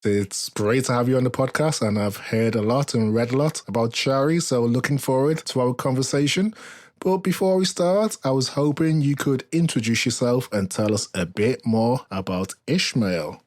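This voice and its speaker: A man speaks as the host of an interview podcast. His voice sits at an average male pitch. The recording is dry, with hardly any room sound. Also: moderate pace, a little mellow, dry recording.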